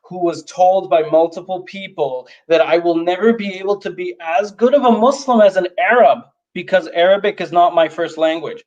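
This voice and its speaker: A man from Canada with a notably high voice for a man, speaking as a guest on a livestream. He talks bluntly and with emphasis, leaning hard on his key points. The sound is dull, as from a lo-fi stream. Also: moderate pace, few pauses, a little mellow. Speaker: guest, youth advocate. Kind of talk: livestream conversation.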